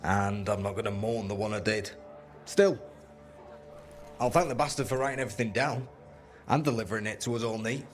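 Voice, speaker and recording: A man's voice: fairly low pitch, a little fast, long pauses, close to an everyday voice. A man playing a blunt, streetwise resistance fighter in a video game, plain-spoken and sardonic. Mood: wry, firm. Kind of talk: video game character dialogue.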